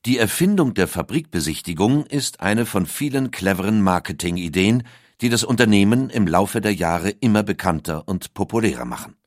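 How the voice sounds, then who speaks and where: A man's voice: fairly low pitch, expressive, normal speed, no echo, clean studio sound. A man reads scripted documentary narration as one of its narrators. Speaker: second narrator of an audio documentary.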